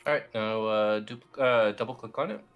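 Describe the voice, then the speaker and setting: A man in a team lead role giving casual, informal step-by-step software instructions, feeling his way as he goes. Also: on the low side, moderate pace, smooth, highs cut off, faint music behind. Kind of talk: casual step-by-step screen tutorial.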